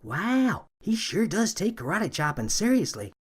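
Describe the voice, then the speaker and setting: A man's voice: high voice, big pitch swings, measured pace, husky, voice pushed up, exaggerated voice. A man doing an eager young rookie woman. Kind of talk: storybook character voice.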